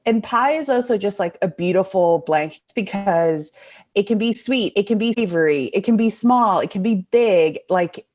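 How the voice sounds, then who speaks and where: A woman's voice: mid-range voice, moderate pace, darkish tone. A young woman from Hong Kong chatting casually and effusively as an interview guest, full of enthusiasm. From Hong Kong, also raised in Indonesia.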